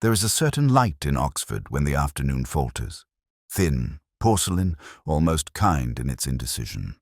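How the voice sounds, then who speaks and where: Very deep-voiced man narrating a detective story. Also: sing-song, moderate pace, darkish tone, no room sound, studio quality. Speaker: audiobook narrator. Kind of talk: scripted first-person detective story narration.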